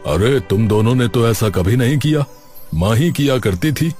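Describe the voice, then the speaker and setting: An actor playing a boastful, easily exasperated young city husband in a cartoon, with animated, slangy casual talk. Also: male voice, mid-range voice, normal speed, faint music behind, close to an everyday voice.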